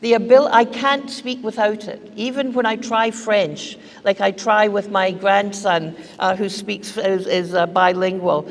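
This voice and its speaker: Older woman, Scottish accent, unhurried keynote delivery, playful and emphatic, podium mic, long hall reverb. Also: mid-range voice. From Scotland. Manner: lively, joking, emphatic, gesturing. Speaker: keynote speaker. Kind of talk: humorous conference keynote. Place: large hall with seated audience. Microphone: podium mic on stand.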